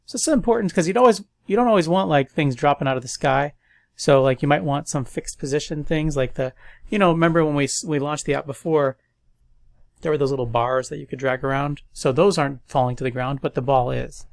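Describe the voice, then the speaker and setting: A man's voice: a little high, brisk, gravelly, no room sound. A man with a stuffy nose, casually thinking aloud as he walks through a coding tutorial. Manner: thinks aloud, relaxed and chatty. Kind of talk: casual screen-recorded coding walkthrough.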